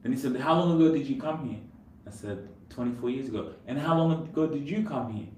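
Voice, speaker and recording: A man's voice: medium pitch, expressive, fast, a little mellow. Kenyan-born guy chatting on a live stream, says like a lot, faint noise behind. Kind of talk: casual conversation on a live stream. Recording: roomy echo, distant mic, faint noise.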